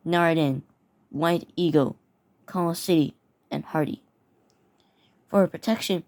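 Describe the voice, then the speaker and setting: A woman's voice: deep voice, darkish tone. A woman reading mock severe weather warnings aloud with her own commentary, often stumbling over and repeating words. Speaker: mock emergency alert narrator.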